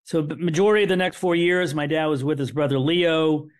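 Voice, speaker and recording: A man's voice: a little high, fairly even intonation, brisk. A middle-aged man, whose was Hebrew, telling a family story as an interview guest in an earnest way that repeats words and restarts. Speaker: interview guest, son of survivors. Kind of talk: conversational storytelling.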